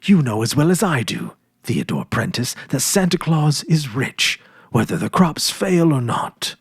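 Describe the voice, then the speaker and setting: A man's voice: a little high, sing-song, measured pace, vocal fry, breathy edge, no echo, studio quality, pitched above the performer's own voice, full character voice. A man voicing a confident, eager ten-year-old farm boy in a storybook, earnest and emphatic, with a boastful know-it-all manner. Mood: confident, scoffing.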